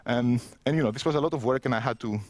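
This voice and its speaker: Greek guy in his 30s doing a keynote, chatty and self-mocking, dry close sound, mid pitch. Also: rapid-fire, no room sound. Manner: self-mocking, conversational, with frequent restarts. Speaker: keynote speaker. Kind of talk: conference keynote. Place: empty indoor room, standing.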